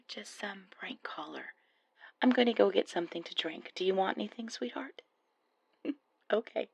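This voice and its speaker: Lady doing a nasty scheming gold digger wife, slow n gravelly, sneering, audio drama. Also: on the low side, a bit bright, no echo, heavily acted. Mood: falsely sweet. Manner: sneering, threatening, hissed contempt. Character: scheming, venomous gold-digging wife of a rich old man. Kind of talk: audio roleplay acting.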